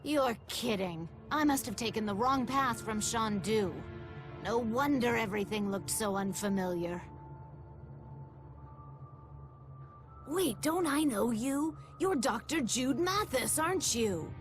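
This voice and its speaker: Blunt, higher-voiced townswoman. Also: animated, measured pace, music in the background. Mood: flustered, relieved. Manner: blunt, dramatic, reproachful. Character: indignant, outspoken married woman gathering herbs. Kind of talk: video game dialogue acting.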